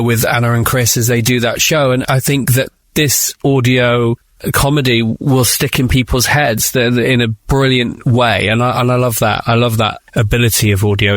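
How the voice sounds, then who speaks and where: A man's voice: medium pitch, little pitch movement, moderate pace, no echo. A man, a writer being interviewed, talking in a thoughtful, enthusiastic, conversational way.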